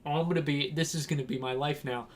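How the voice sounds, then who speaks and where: A man's voice: a little high, steady tone, fast talker, a little hiss or hum. A young man from Washington telling a casual, rambling story, laughing and acting out quotes. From Washington, United States. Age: young adult. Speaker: vlogger.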